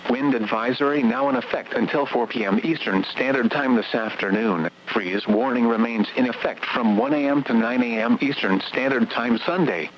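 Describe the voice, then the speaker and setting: A man's voice: mid-range voice, normal speed, hardly any pauses. A synthetic male text-to-speech voice reading scripted weather bulletins as an automated radio announcer, impersonal and formulaic, in clipped segments. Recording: muffled, noisy weather radio broadcast.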